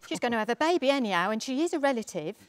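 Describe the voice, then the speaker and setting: Woman acting anxious, higher-voiced landlord's wife. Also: sing-song, racing. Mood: anxious, pleading. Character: anxious, weary, loyal wife of pub landlord. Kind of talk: dramatic script reading on stage. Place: theatre stage, seated reading.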